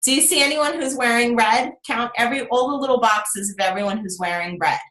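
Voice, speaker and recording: A woman leading a motivational group video call, energetic and full of rhetorical questions to her team. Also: a little high, a little fast. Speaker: team leader on a coaching call. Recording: roomy echo.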